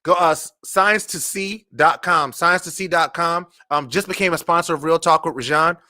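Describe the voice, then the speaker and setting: An American man hosting a casual live interview, speaking haltingly and repeating words as he thinks. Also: a little high, pushed and bright. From Charleston, United States. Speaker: talk show host.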